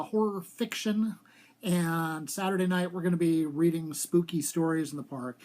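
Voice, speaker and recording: A man speaking as an author at a live reading of short fiction, with an emphatic storytelling delivery. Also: fairly high pitch. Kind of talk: live dramatic literary reading.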